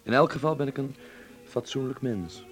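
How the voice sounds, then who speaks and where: Guy acting as young stage actor in a radio play, defiant but affectionate, theatrical, middling pitch, bit of music behind. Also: normal speed, natural voice. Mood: casual, assured. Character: defiant, affectionate young operetta actor living with his mother.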